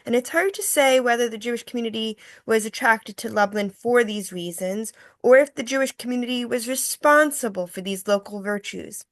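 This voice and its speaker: A woman's voice: a little high, moderate pace, hardly any pauses, dry recording, studio quality, natural voice. A woman acting an earnest, scholarly tour guide in a table read monologue. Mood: earnest, reflective.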